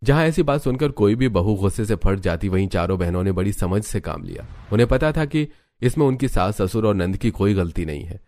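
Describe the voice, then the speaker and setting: Low-voiced male story narrator. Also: expressive, brisk, dark tone, no room sound.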